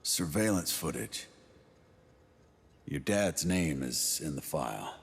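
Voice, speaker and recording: A man's voice: extremely low pitch, animated, slow, creaky, slightly rough, full character voice. An actor playing a genial, folksy older agency man in a video game, drawling and amused. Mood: intent, musing. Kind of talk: video game cutscene acting.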